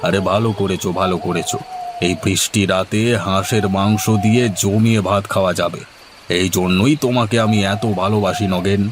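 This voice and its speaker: A man's voice: deep voice, steady tone, a little fast, background noise, close to an everyday voice. A man voicing a self-important village headman in a cartoon, a patron who speaks in a commanding, theatrical way. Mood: pleased, patronising. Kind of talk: Bengali cartoon dubbing.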